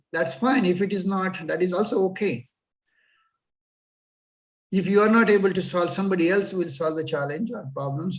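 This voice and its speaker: A man's voice: fairly high pitch, far from the mic, poor audio. A middle-aged Indian man, a native Marathi speaker, giving an earnest advice-filled lecture with many fillers. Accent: Indian English. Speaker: guest lecturer. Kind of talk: lecture on a video call.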